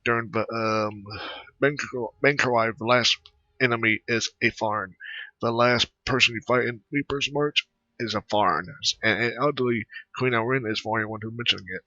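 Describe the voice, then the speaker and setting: A man giving casual, rambling, halting commentary over his video game playthrough. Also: medium pitch, fairly even intonation, bright, forceful tone, no echo. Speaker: gamer recording a playthrough. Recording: dull, close dry microphone.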